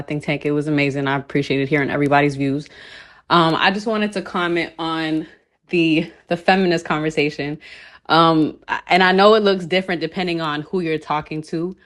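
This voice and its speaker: A woman from Ethiopia joining a live panel discussion and speaking in a measured, earnest way with frequent pauses. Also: deep voice, fairly even intonation. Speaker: panel participant. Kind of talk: casual live-stream discussion.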